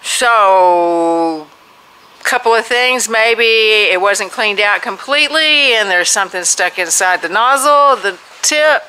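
A woman's voice: mid-range voice, animated, slow, bright, forceful tone, poor audio. A woman narrating a spray gun demonstration from behind the camera, explaining each step. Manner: explanatory, encouraging play-by-play. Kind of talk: commentary on a demonstration.

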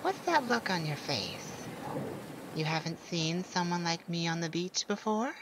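Performer playing a slow, swooping, theatrical male siren. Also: medium pitch. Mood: playful, intrigued. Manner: theatrical, unhurried, teasing, addressing one listener. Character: proud, lonely male siren of the sea. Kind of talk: scripted ASMR roleplay. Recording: steady background noise of beach ambience. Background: beach and ocean sounds.

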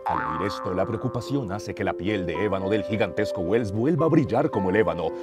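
A man's voice: lowish voice, close to an everyday voice. A Colombian man playing a pompous, ominous narrator of a detective radio serial, close on a studio mic. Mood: ominous, grand. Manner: pompous, suspenseful. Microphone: close studio broadcast mic. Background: music.

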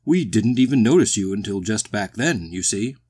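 A man voicing a big, polite, pompous, slightly tipsy gentleman walrus in a storybook, with a booming, hesitant delivery. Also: animated, normal speed. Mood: embarrassed booming. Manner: pompous, polite, hesitant, old-fashioned British phrasing. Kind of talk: acted storybook dialogue.

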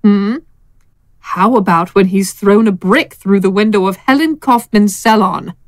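An actress as a seductive, sardonic woman in an audio drama, the insistent secret lover. She speaks slowly and deliberately with a pointed, teasing edge. The recording is dry. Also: medium pitch, dry recording, close to an everyday voice.

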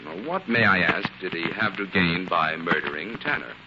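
An actor playing a calm, analytical scientist crime-fighter, explaining with assurance in a 1940s radio drama. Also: male voice, low voice, normal speed, natural voice. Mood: skeptical. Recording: muffled, very noisy 1940s radio recording.

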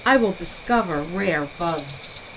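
A woman's voice: fairly low pitch, animated, takes it slowly, fairly bright tone, a little hiss or hum, full character voice, poor audio. A woman voicing an imaginative young girl who is eager to play pretend, in a dramatic storybook reading. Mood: excited, imaginative.

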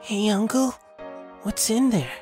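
A woman's voice: mid-range voice, lively intonation, slow pace, a little mellow. A woman voicing a stubborn, wounded little boy in an amateur anime audio drama, insistent and emotional. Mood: earnest. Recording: music behind the voice.

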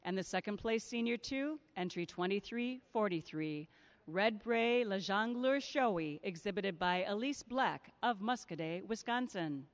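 A woman's voice: mid-range voice, expressive, no room sound. Woman announcing at a livestock show. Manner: measured, reads entries and sponsors. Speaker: ring announcer. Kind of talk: formal award announcements. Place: large indoor show arena. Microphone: handheld PA microphone.

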